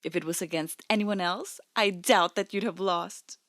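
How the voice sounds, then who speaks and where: A woman's voice: on the low side, normal speed, a bit bright, no echo, clean studio sound, natural voice. Woman playing a teasing, doting kendo-teacher mom. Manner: teasing, affectionate. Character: playful doting mother and kendo instructor. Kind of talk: fan audio drama acting.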